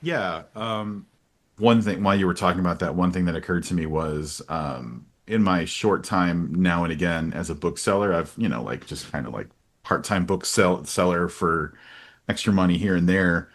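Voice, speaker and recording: A man's voice: deep voice, normal speed. A man hosting a casual book-talk interview, speaking in an earnest, rambling and emphatic way. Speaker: podcast host interviewing a publisher.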